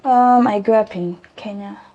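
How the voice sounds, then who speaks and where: A young woman from South Sudan answering interview questions earnestly, pausing often with ums. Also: medium pitch, phone-quality sound. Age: young adult. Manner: earnest, hesitant with many ums. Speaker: interview guest. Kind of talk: conversational interview.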